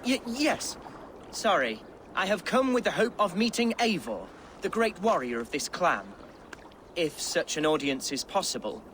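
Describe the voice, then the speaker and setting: A man's voice: high voice, big pitch swings, unhurried, a bit bright, slight background noise, exaggerated voice. An actor playing an eager, earnest young nobleman and amateur poet in a video game, florid, wordy and easily flustered. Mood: flustered, humble. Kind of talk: video game character acting.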